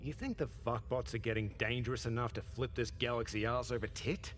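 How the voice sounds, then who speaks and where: A man's voice: medium pitch, fairly even intonation, a little fast, a little hiss or hum, lightly acted. A man with a British accent voicing a cheeky, blunt starship captain in a video game, casual and wry. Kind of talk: scripted video game voice acting.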